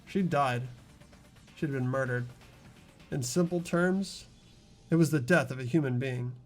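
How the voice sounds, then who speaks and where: A man's voice: mid-range voice, a little slow, soft background music, close to an everyday voice. A man playing an earnest teenage boy student who narrates a game story in a dramatic manner. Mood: grave.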